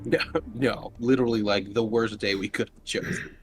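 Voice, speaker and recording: A man's voice: lowish voice, steady tone, moderate pace, soft background music. A man chatting casually and wryly as a player in a tabletop roleplaying game. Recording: clearly noisy background, clicks.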